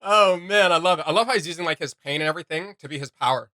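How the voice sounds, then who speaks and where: A man hosting a reaction video, chatting casually in an excitable, slangy, rambling way. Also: a little high, fast, dry recording, clean studio sound.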